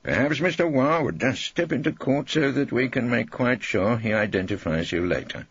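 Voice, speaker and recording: A man's voice: mid-range voice, animated, normal speed, husky, no echo, dull audio, pitched above the performer's own voice. A man voicing a combative, irreverent old English barrister in an audiobook, theatrical and relentless, with sardonic cross-examining. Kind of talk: audiobook character acting.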